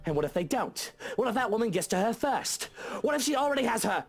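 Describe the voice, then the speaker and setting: Man acting a stubborn young master, swinging pitch. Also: very animated, quick pace, breathy edge, exaggerated voice. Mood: defiant. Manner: defiant, demanding. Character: stubborn, paranoid young master of a mansion, defiant. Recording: music under the voice.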